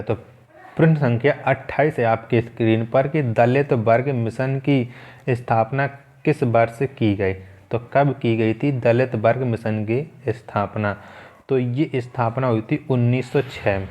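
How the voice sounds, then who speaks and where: A man's voice: mid-range voice, a little mellow. A man teaching an exam quiz lesson, methodically reading out questions and repeating key answers.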